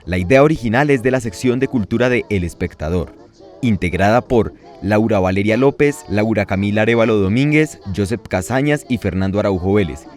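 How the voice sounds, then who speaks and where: Male announcer, low voice, faint music underneath. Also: a little fast, a little mellow. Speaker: announcer reading the credits. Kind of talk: scripted credit announcement.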